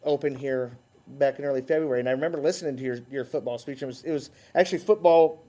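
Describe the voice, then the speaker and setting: A man hosting a casual interview, halting and repeating words as he asks friendly questions. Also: mid-range voice, mellow. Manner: laughs easily, friendly questioning. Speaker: host.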